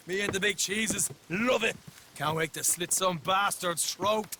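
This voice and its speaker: An actor playing an excitable, boastful young Irish outlaw in a cinematic video game, with profane, needling banter. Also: male voice, high voice, takes it slowly, a little hiss or hum, exaggerated voice. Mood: eager, cocky.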